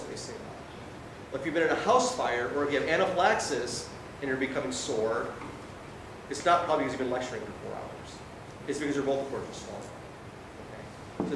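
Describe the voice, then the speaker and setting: A male doctor giving an informal medical lecture in a conversational way, often checking in with his listeners. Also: quick pace. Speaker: emergency physician lecturing. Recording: very echoey room, distant mic, noisy rumble.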